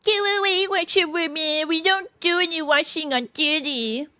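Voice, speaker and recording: A woman's voice: sits high, moderate pace, bright, forceful tone, no room sound, studio quality, voice pushed up. A woman voicing a haughty, curt male sentry sergeant from a storybook, commanding and dismissive. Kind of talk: storybook character voice.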